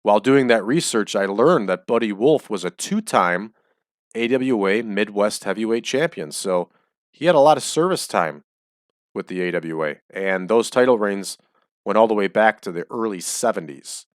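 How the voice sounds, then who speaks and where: A man's voice: fairly low pitch, animated, normal speed, no room sound. A man hosting a casual game playthrough, chatty and explaining his choices as he goes, his voice a little off and dry from the air in his home. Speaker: let's-play host. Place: small home room.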